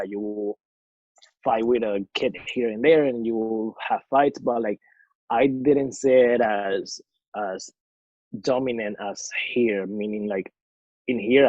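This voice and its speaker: Low-voiced young man from Ecuador, easygoing. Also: slightly rough, dry recording, dull audio. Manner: easygoing, many fillers. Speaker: podcast co-host. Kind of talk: casual podcast chat.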